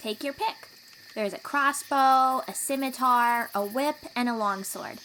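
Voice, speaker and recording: A young female warrior of the sea folk as a woman acts her out, with a fairly high voice. She speaks to her companion as a protective, teasing, encouraging teacher in an audio roleplay, with clear cricket and insect ambience behind her. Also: measured pace, a little hiss or hum, low-quality recording. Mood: encouraging, instructive. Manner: encouraging, instructive, gently teasing. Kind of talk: audio roleplay acting addressed to the listener.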